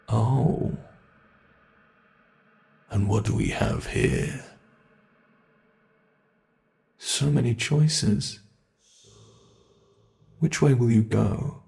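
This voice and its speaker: Slow, gravelly sadistic monster, played by a man. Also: mid-range voice. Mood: mocking. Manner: slow, taunting, savoring menace with soft rhetorical questions. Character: sadistic male monster gloating over captive human prey. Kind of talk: ASMR horror roleplay.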